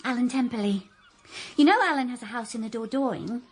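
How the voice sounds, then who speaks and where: A woman's voice: on the high side, fairly quick, breathy edge. An actress playing a gushing, fluttery former girlfriend who walks dogs, in a radio comedy. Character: Judy Page, gushing dog-walking ex-girlfriend. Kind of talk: radio drama acting.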